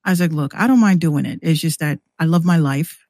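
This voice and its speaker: An American woman chatting casually and candidly in a slangy, animated way, acting out exchanges as she tells stories. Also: deep voice, a little fast, no echo, studio quality. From New York City, US. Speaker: podcast guest, rapper and radio show host. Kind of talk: casual conversational storytelling.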